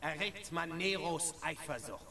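An actor playing a youth who declaims classical tragedy in a lofty, impassioned, theatrical way within a radio play. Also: male voice, a little high, fairly even intonation, measured pace. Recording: distant voice under loud music.

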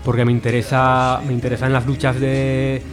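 Earnest halting male activist guest. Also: medium pitch, monotone, over music. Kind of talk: radio interview.

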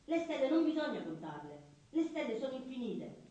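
Un attore che interpreta un omino ingenuo, testardo e sognante in un radiodramma, con battute brevi e un fare infantile e serio. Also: male voice, extremely high pitch, very animated, fairly quick, warm tone, muffled recording. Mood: dreamy earnest. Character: naive, stubborn, dreamy little man of few words. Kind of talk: theatrical radio drama dialogue. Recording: very echoey, distant stage-like sound.

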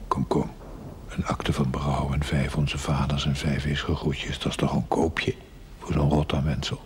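An actor playing a wry, teasing confidant in a television drama, with a Dutch accent and a hushed, sardonic delivery. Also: male voice, a bass voice, flat delivery, moderate pace, a little hiss or hum. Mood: wry, gossiping. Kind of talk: television period drama acting.

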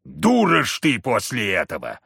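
Мужчина озвучивает огромного невидимого мужика-великана из сказки, грубого и грозного, с рокочущей речью. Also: male voice, big pitch swings, takes it slowly, vocal fry, bright, forceful tone, husky, no echo, clean studio sound, heavily acted. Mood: angry. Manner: booming, rumbling. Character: huge invisible giant husband, gruff and menacing.

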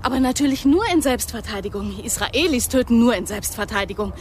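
High-voiced defiant young woman played by an actress. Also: animated, fairly quick, a little hiss or hum, close to an everyday voice. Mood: bitter, challenging. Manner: blunt, challenging, emotional. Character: defiant, wounded young woman recruited as an agent. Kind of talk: radio drama acting.

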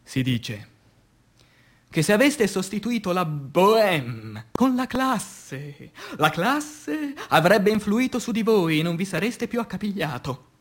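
A man reciting poetry as a stage actor, in a dramatic, declamatory and solemn manner with long pauses. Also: on the high side. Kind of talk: dramatic theatrical poetry recitation for radio theatre.

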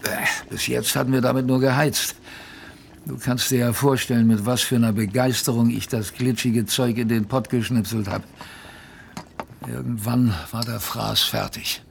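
A man's voice: mid-range voice, fairly even intonation, normal speed, gravelly, slight background noise, close to an everyday voice. An actor playing a former polar sailor who recounts his hardships in a wry, colloquial radio play narration.

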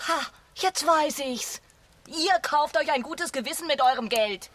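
An actress in a radio drama playing an earnest, morally challenging sister-in-law, husky and blunt. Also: female voice, sits high, unhurried, a bit bright.

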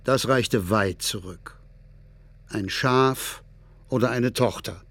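An actor playing a sombre villager, commenting deliberately and matter-of-factly in a literary radio drama. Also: male voice, lowish voice, a bit bright, full character voice.

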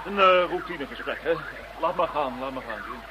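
Higher-voiced anxious male investigator, played by an actor. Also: expressive, fairly quick, close to an everyday voice. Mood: worried. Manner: agitated, hesitant, repeats himself. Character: anxious, irritable male investigator, protective of colleagues. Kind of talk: radio play acting. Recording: radio play with faint background noise.

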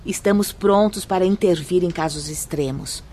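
A woman playing a serene, learned spirit guide in a radio drama, speaking in a formal, measured, instructive and firm manner. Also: fairly low pitch, a little mellow, slight background noise, lightly acted. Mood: patient, instructive. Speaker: actress in a radio play. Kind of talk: radio drama acting, solemn and didactic.

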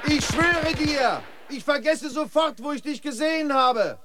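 Radio play role: seasoned, sardonic guide, knowing and laconic, very varied intonation, clear noise behind. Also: male voice, extremely high pitch, lively intonation, a little slow. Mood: calling out. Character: cynical, seasoned male guide, slingshot-proud street survivor. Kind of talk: German radio play acting.

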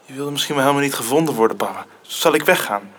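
An actor playing a gentle, guarded young son in a radio drama, speaking softly and a little hesitantly. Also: male voice, medium pitch, fast talker, lightly acted. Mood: concerned, unsure. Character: gentle, guarded young son facing his lost father. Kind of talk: naturalistic radio drama acting.

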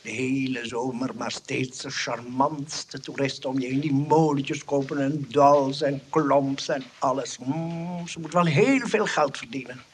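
A man's voice: mid-range voice, fairly even intonation, measured pace, hardly any pauses, slight background noise, natural voice. An actor playing a vain, fussy, smitten man in a comedic radio play, hesitant and ingratiating, with a Netherlands Dutch accent. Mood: admiring.

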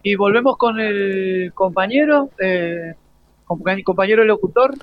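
High-pitched male, Argentine accent, halting radio co-host, casual, lo-fi sound. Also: dry recording. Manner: halting, rephrasing. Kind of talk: casual live radio banter and interviewing. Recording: dull lo-fi radio broadcast link.

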